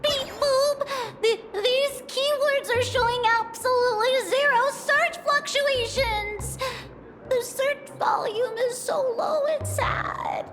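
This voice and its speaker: A woman's voice: very high voice, slow pace, long pauses, fairly bright tone, heavily acted. A woman voicing a small, cute, timid furry assistant creature in a game, with a cutesy, plaintive whine. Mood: worried. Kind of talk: game voice acting. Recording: music playing behind.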